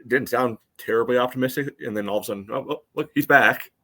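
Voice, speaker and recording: A man co-hosting a soccer podcast, giving casual analysis in a measured, conversational way. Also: medium pitch, fairly bright tone.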